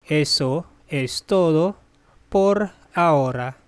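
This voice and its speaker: A Spanish teacher's voice reading a bilingual phrase drill, saying each phrase, translating it, then repeating it deliberately. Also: mid-range voice, clear, resonant tone, no room sound.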